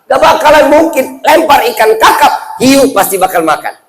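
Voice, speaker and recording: A man's voice: extremely high pitch, big pitch swings, normal speed, a little hiss or hum. A man with a Jakarta Indonesian accent preaching Islam to an audience in a playful, colloquial way, often putting questions to his listeners. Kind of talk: humorous sermon to a live audience.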